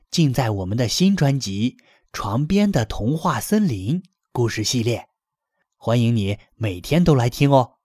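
A man reads a children's bedtime story with a gentle, lively telling and a very animated melody. His voice is a little husky. The recording is close and dry. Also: mid-range voice, no room sound.

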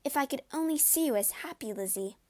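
A woman doing a gentle sweet young woman. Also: high-pitched, expressive, a little fast, voice pushed up. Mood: overjoyed.

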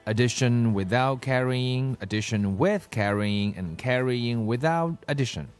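A man voicing a brisk, insistent female schoolteacher in a children's storybook, firm and matter-of-fact. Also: mid-range voice, clear, resonant tone, faint music behind, natural voice.

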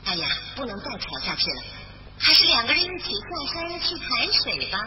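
A woman storyteller narrating a scripted children's bedtime tale in an expressive style. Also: high-pitched, moderate pace. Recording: dull roomy recording with music behind.

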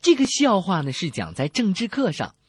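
A man's voice: high voice, huge pitch swings, moderate pace, a little hiss or hum. A man co-hosting a scripted comedy radio show, teasing his partner with cheeky mock innocence. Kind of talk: scripted comic banter. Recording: prominent music bed behind voice.

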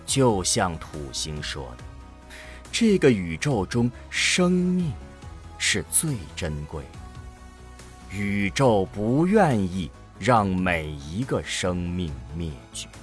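Slow, dramatic male narrator, low voice. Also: very animated, faint music behind. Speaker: narrator of a science fiction audio drama.

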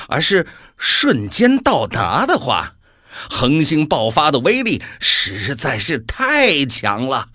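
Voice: mid-range voice, fairly bright tone, no echo, studio quality, heavily acted. An actor playing a mocking devil in a radio drama, gleefully taunting with theatrical delivery. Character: mocking devil gleefully wagering on a world's fate.

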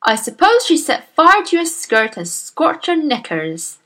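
A woman voicing a huge, bullying female headmistress who barks and shouts with scorn. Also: high voice, big pitch swings, a little slow, voice pushed up, heavily acted. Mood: bellowing scorn.

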